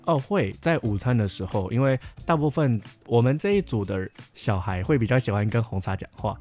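A young man with a Taiwanese Mandarin accent chats casually and reflectively, explaining at length and softening his opinions. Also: mid-range voice, expressive, brisk. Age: young adult. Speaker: camp counselor sharing experiences. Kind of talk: casual podcast chat. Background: background music.

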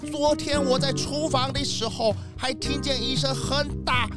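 A woman acts out a timid elderly billy goat in a children's audio drama. The voice is fairly high and slow, stammering and bleating in Taiwanese Mandarin, over clearly audible music. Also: steady tone. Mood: anxious, earnest. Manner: stammering, bleating at phrase ends. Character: timid, flustered elderly male goat shopkeeper.

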